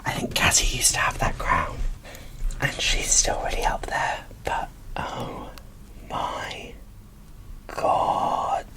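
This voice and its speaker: A woman whispering casual, gushing ASMR commentary as she presents an unboxing, in a breathy, informal way. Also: slow, pushed and bright.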